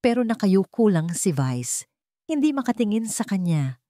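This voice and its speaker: A woman's voice: mid-range voice, very animated, normal speed, warm tone, dry recording, clean studio sound. A woman storyteller reading a scripted drama narration in a dramatic style.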